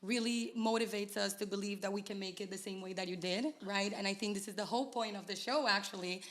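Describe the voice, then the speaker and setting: A woman's voice: medium pitch, fairly even intonation, fast. A young woman hosting an interview, enthusiastic and affirming as she asks her guest questions. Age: young adult. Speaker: interview host, designer. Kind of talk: interview conversation.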